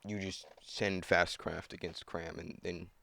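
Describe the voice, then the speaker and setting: A man's voice: low-pitched, little pitch movement, unhurried, gravelly, pushed and bright. A young man thinking aloud and griping at himself while setting up a computer game on a cheap desk mic. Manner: muttering, self-critical, thinking aloud. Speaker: gamer. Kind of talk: casual gameplay commentary.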